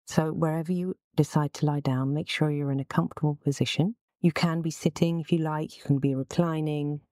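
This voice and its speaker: A woman leading a guided relaxation as the instructor, speaking in a gentle, soothing, unhurried manner. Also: sits low, no room sound, studio quality.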